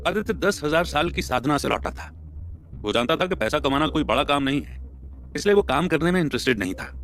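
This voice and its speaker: A man's voice: on the high side, sing-song, brisk, a bit bright. A man narrating a scripted audio story as a storyteller. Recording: music bed under the voice. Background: background music.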